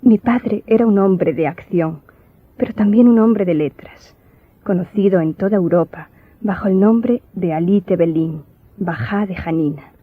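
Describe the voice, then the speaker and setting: An actress playing a melancholy young Greek noblewoman in a radio drama, recounting memories in a solemn, formal, measured way. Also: mid-range voice, husky, dry recording. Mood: solemn, remembering. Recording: muffled audio with music behind.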